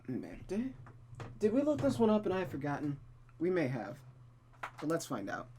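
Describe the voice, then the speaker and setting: Expressive storyteller reading a visual novel. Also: mid-range voice, normal speed, a little hiss or hum. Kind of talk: expressive story narration with casual asides.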